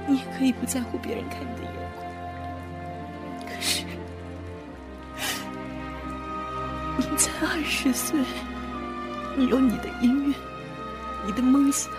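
A woman's voice: high voice, normal speed, pauses often, music in the background, close to an everyday voice. A woman playing an emotional, weary woman in her thirties, torn over forbidden love, in a melodramatic audio drama. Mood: distressed, pleading. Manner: emotional, sighing, resigned. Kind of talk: Chinese amateur audio drama, melodramatic acting.

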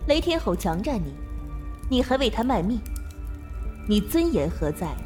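A woman's voice: on the high side, very animated, moderate pace, warm tone, soft background music. Woman playing cool, composed martial sect woman. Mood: cold, probing. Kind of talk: amateur Chinese costume audio drama.

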